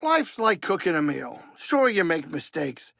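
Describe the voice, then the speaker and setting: An actor playing a wisecracking older alien pilot and cook in a video game, grumbling and blustery but warm-hearted. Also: male voice, fairly high pitch, sing-song, measured pace, creaky, no room sound, studio quality, exaggerated voice. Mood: nervous bravado. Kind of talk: video game character acting.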